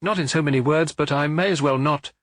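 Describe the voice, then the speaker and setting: A man's voice: fairly high pitch, a little fast, dry recording, voice pushed up, natural voice. A man reading wry detective Maigret. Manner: dry, ironic. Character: Maigret, wry middle-aged detective on holiday.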